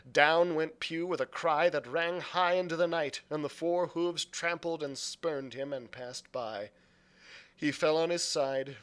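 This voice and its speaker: A man's voice: a little high, a bit bright. A man reading an adventure novel aloud as a librarian, in dramatic storybook narration.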